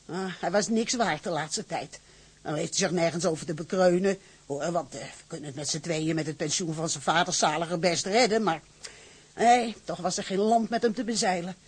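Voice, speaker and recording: A woman's voice: fairly low pitch, moderate pace, husky. An actress playing a middle-aged widowed mother in a radio drama, garrulous, fussy and deferential, hesitating as she chatters. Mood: chattering, anxious. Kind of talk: Dutch radio drama acting.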